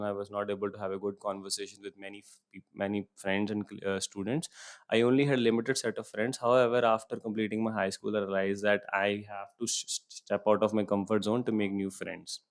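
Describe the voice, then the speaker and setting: Low-voiced man tutoring exam speaking. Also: clear, resonant tone. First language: Punjabi. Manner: reads each question aloud, then answers in simple, steady sentences. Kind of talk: exam-prep lesson with model answers.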